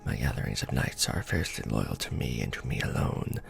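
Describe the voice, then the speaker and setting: A man playing a courtly, doting vampire lord in an ASMR romance roleplay, speaking formally and deliberately with tender pauses. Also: extremely low pitch, gravelly, faint music behind, full character voice. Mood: commanding, scheming.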